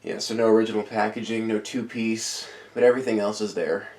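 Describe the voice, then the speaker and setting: A man with a lowish voice gives a casual, rambling show-and-tell of a fan from his collection. He sounds a little nasal and tired after dental work. He often stops and restarts as he talks. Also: brisk, darkish tone. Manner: rambling, hesitant, with many restarts. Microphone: camera on a tripod.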